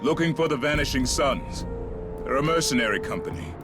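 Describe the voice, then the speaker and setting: A man's voice: medium pitch, animated, brisk, natural voice. A man playing an earnest, formal human soldier who is a guard captain, in a video game cutscene. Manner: earnest, formal, puzzled questions. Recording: cutscene with music behind.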